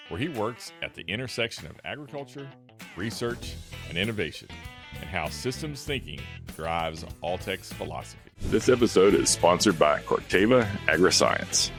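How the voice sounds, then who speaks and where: A man hosting a podcast, who introduces his guests and asks friendly questions at a brisk pace. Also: deep voice. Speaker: podcast host.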